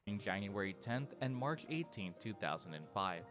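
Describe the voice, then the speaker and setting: A man reading scripted documentary narration in a steady storytelling manner, over soft music. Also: lowish voice, moderate pace.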